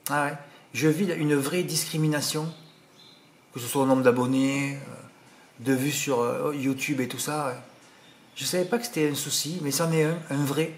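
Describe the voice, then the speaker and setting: A man's voice: fairly high pitch, steady tone, normal speed, slight background noise. A man with a regional French accent giving horse racing tips in an indignant, rambling monologue, peppered with tag words. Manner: indignant, rambling, full of "hein" and "voilà". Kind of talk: casual monologue to subscribers.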